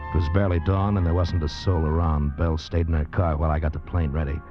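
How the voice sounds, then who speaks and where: A greedy, scheming man with a low voice, confessing and persuading in hard-boiled fashion. It is golden-age radio drama, muffled by age, with prominent music behind it. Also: flat delivery, quick pace. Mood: brooding, cold. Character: scheming, greedy man narrating his murderous affair. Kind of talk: 1940s-50s radio drama acting. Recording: dull vintage radio recording with music.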